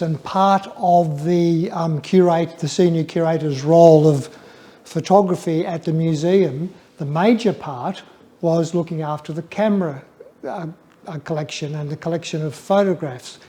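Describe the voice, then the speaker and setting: Older Australian male speaker for an informal illustrated lecture; soft-edged mellow tone, slightly high, halting and thoughtful. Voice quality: flat, dull voice. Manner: hesitant, stammering over word starts, reflective. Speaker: guest speaker, former museum photography curator. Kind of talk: informal illustrated public talk.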